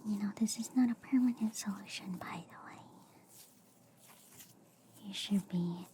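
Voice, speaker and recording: A woman whispering softly and breathily in a soothing, playful ASMR roleplay, close to the microphone. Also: mid-range voice, a little hiss or hum. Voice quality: soft, breathy, mostly whispered. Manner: soothing, unhurried, playful reassurance. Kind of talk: soft ASMR roleplay as a friendly alien doctor.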